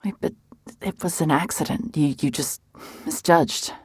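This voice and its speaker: Hard-hearted female PI for horror audio fiction: very low register, dry studio sound, wary and sardonic. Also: a little slow, a little mellow, husky, no room sound, heavily acted. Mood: hesitant, probing. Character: hard-hearted female private investigator, guarded, shaken, sardonic. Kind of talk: cinematic mystery horror audio drama, narration and dialogue.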